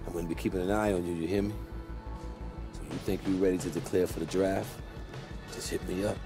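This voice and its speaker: Low-voiced, easygoing basketball agent mentor. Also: male voice, fairly even intonation, fast. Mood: encouraging. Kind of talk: cinematic game dialogue. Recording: music behind the voice.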